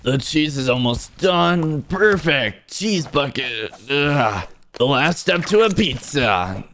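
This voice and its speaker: A man's voice: a little high, expressive, takes it slowly, a bit bright, slight background noise, full character voice. A man voicing an earnest young stick-figure cook who leads his friends in an amateur cartoon dub, giving instructions. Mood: eager, instructing.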